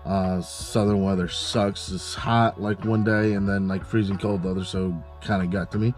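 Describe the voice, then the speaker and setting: A young man with a stuffy, nasal voice talks casually to camera from the driver's seat of a parked car. His voice is low and mellow, and he rambles along with plenty of fillers. Soft music plays faintly underneath. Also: normal speed. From Mississippi. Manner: relaxed, chatty, many fillers. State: congested, nasal. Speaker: car channel host. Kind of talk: casual vlog talk to camera. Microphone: camera on dashboard.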